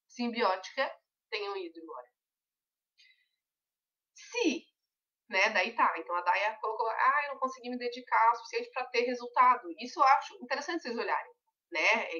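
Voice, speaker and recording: A woman guides a group through a mentoring session, her voice bright and fairly high. She explains patiently with everyday examples. The audio sounds distant and muffled, like an online call. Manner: conversational, reassuring, explains with examples. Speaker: weight-loss mentor.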